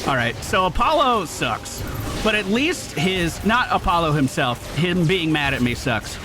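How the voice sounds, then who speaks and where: A young man commentating his own video game run, excitable and quick to hype big moments. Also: on the high side. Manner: excitable, shouts and hypes when things go well. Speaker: gaming streamer. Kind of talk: let's play commentary. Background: game music.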